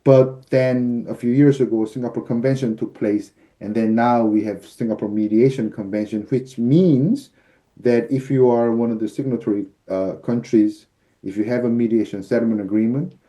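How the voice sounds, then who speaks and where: A man in his fifties with a deep, mellow and very dark timbre explains legal procedure patiently over a video call. He pauses often on fillers, keeping his pace measured. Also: fairly even intonation. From United States. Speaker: arbitrator and mediator guest. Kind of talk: explanatory interview answers. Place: office with shelves, indoors. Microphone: video call webcam.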